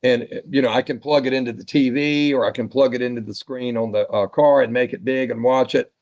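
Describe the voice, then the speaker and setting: A man's voice: medium pitch, steady tone, brisk, darkish tone. Man hosting, slightly muffled radio sound. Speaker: radio net host. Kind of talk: casual chat. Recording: dull band-limited ham radio audio.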